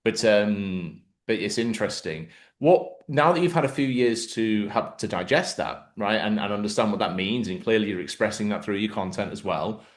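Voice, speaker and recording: A man with a Mancunian accent hosting a relaxed podcast interview, explaining terms for the listeners. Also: fairly low pitch, normal speed, few pauses. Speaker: podcast host. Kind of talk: casual interview.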